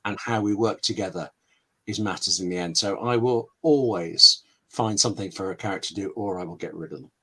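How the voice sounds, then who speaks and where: A British man speaking as a guest scriptwriter, giving conversational writing advice in an emphatic, discursive way with dramatic pauses. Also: low voice, pushed and bright, rough recording.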